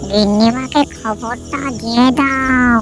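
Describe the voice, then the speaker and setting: Woman as a high, slow, solemn messenger. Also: fairly even intonation. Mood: grave. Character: solemn household messenger bearing bad news. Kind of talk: Bengali cartoon story dialogue. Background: background music.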